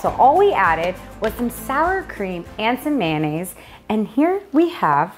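A woman's voice: medium pitch, sing-song, slow, reverberant, faint music behind. Upbeat woman giving a cooking demo. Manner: upbeat, jokey, step-by-step instructions. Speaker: vlog co-host. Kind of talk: casual cooking demo and chat. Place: home kitchen indoors.

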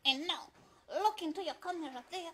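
A woman's voice: extremely high pitch, brisk, pitched above the performer's own voice. A woman voicing a cheeky, vain young male ventriloquist puppet who teases and boasts. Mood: upbeat. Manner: bossy, boastful, teasing. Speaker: puppet co-host of comedy show.

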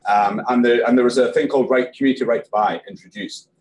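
A man in his thirties with a Scottish accent, explaining policy slowly and carefully on a panel discussion in a small meeting room, picked up by a room camera on a video call. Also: mid-range voice, steady tone, darkish tone. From Scotland. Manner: measured, explanatory, with filler restarts. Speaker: panel guest.

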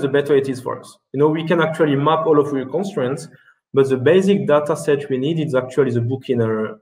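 A man in his thirties presents over a video call from an indoor room. His voice sits at an ordinary middle pitch, and he talks haltingly with many restarts and fillers. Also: darkish tone. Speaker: keynote speaker. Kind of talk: webinar presentation and Q&A answers.

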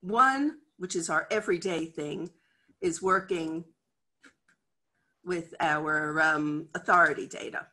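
A middle-aged woman with an American accent speaks over a video call from her office. She gives a conference talk in a chatty, candid way. Her voice is bright and forward. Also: deep voice, slow, pauses often. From United States. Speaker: head of a library cataloguing department. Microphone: video call webcam.

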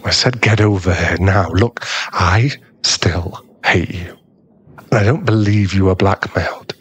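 A man playing a bitter, heartbroken young school bully in an audio roleplay, scornful and aggrieved, with a gruff protective streak. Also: on the low side, a bit bright. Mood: grudging concern. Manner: aggrieved, swearing, scornful, dropping into breathy muttering. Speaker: ASMR roleplay voice actor. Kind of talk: ASMR boyfriend audio roleplay.

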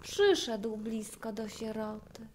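A woman narrating a fairy tale in verse, with an expressive, measured storytelling delivery. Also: fairly high pitch, slight background noise.

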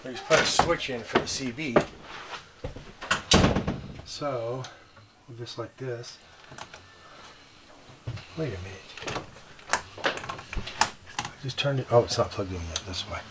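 A man's voice: mid-range voice, takes it slowly, pauses often. A middle-aged man chatting casually and jokily while working, with a folksy manner. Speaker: vlog host doing DIY bus repairs. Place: inside a converted school bus and outdoors beside it. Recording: clear background noise, camera audio.

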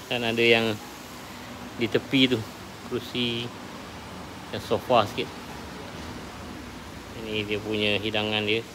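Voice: mid-range voice, monotone, takes it slowly, pauses often. A food vlogger with a Malaysian accent giving casual walk-around commentary, asking questions and repeating answers back in an inquisitive way. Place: walking outside and inside a roadside cafe. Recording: noisy handheld recording with cafe ambience.

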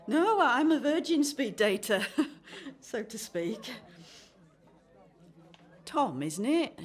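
A woman's voice: a little high, sing-song, slow pace, slightly rough, exaggerated voice. A woman playing a chatty, nervous, self-deprecating dater in her thirties in a radio play, awkward and eager in manner. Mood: awkwardly cheerful. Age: 30s. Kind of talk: radio play acting, conversational.

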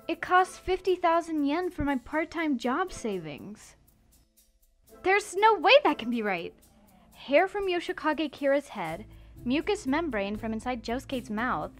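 A woman voicing an agitated young woman who swings between confusion and anger, in a fan dub of a comic. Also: high voice. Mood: puzzled, then indignant. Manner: anxious, indignant, wavering.

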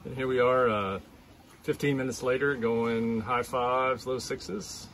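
A man in his fifties giving a relaxed, chatty vlog update, filmed on a phone at arm's length aboard a sailboat at sea. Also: mid-range voice, a little slow, poor audio. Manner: relaxed, chatty, with frequent fillers. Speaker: sailing vlogger. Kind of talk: casual vlog narration. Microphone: handheld phone camera at arm's length. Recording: loud engine and wind noise on deck. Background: boat engine and wind noise.

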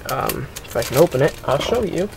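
A man's voice: on the high side, very animated, brisk, gravelly, a little hiss or hum, low-quality recording. A young man casually narrating a gadget unboxing, rambling and hesitant with many ums. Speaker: unboxing video host. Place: indoors at a table.

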